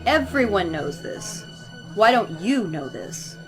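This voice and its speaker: An actress playing a stern, zealous religious leader in an audio drama, speaking in a formal, commanding, preaching way. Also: female voice, mid-range voice, sing-song, slow. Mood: pious, formal. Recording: music playing behind the voice.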